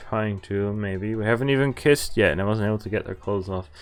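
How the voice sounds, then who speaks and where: A man's voice: on the low side, fairly quick, clean tone. A man streaming a visual novel, reading the text aloud and adding casual commentary. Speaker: game streamer. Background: faint game music.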